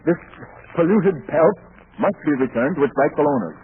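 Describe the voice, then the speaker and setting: Brief: male actor as fussy professor, highish voice, very animated, mild but unflappable, vintage radio comedy. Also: normal speed, close to an everyday voice. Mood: indignant. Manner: fussy, polysyllabic, formal. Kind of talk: 1940s radio comedy acting. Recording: noisy, narrow-band 1940s radio recording.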